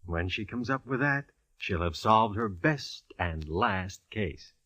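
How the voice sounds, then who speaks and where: Lively, wry police captain. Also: male voice, on the low side, slow, full character voice. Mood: wry, amused. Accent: American. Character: brisk, wry, good-natured police detective captain. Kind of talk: 1940s radio drama acting. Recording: vintage radio broadcast.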